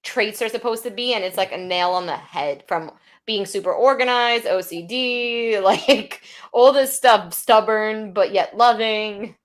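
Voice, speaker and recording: A woman co-hosting a casual livestream chat, excitable and quick to laugh. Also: mid-range voice. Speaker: podcast co-host.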